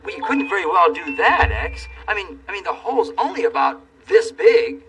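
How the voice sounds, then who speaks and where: A man playing a kindly, easygoing handyman in a children's television show, talking in a gentle, folksy, reassuring way. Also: high voice, sing-song, normal speed, vocal fry, slightly rough, distant mic, exaggerated voice, low-quality recording. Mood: friendly, reassuring.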